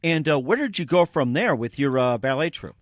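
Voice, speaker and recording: A man's voice: medium pitch, sing-song, fairly quick, no echo, clean studio sound. A man hosting a radio talk show who leans on fillers like "um", "you know" and "as well, too". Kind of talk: radio interview and read ad.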